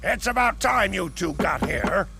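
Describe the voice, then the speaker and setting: Grumpy old wise man in a game, croaky as anything, animated, bossy. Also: sits high, measured pace, background noise, full character voice. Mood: gruff, urgent. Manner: gruff, blunt, expository. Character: gruff, commanding older male sage mentor. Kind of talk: animated video game acting.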